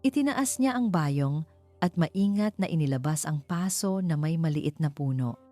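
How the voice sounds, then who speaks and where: A woman's voice: sits low, expressive, moderate pace, mellow. A woman reading a scripted story as its narrator, over background music. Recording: clear music bed under narration.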